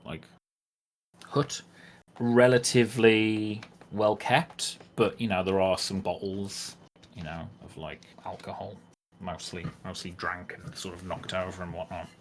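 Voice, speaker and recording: A man's voice: low-pitched, fairly even intonation, a little slow. A man acting as game master, narrating a tabletop game casually with a loose, filler-heavy delivery. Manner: loose, filler-heavy, keeps saying like and sort of. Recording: some background noise.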